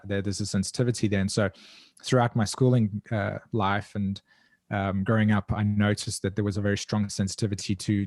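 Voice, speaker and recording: A man's voice: lowish voice, darkish tone, no echo, clean studio sound. A man with a South African accent, speaking as an interview guest in a reflective, earnest, introspective way, often searching for his words. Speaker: guest, evolutionary astrologer.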